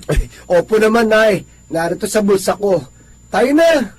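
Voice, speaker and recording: Protective grown son, high voice, earnest, firm, easily annoyed, radio drama. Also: male voice, lively intonation, a little slow, darkish tone, a little hiss or hum. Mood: caring, brisk. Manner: earnest, polite but firm. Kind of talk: Filipino radio drama acting.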